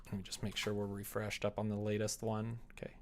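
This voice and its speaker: A man's voice: on the low side, completely flat delivery, fairly quick, a little hiss or hum. A young man chatting casually on a livestream, thinking aloud as he works, informal and self-deprecating. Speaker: livestreamer coding a game. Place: indoors, small room at a computer.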